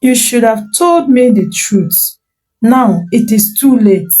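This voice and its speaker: A woman's voice: on the high side, expressive, slow, faint music behind. A woman voicing a horrified, heartbroken young prince who cries out in distress. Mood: anguished. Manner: shouting, distraught.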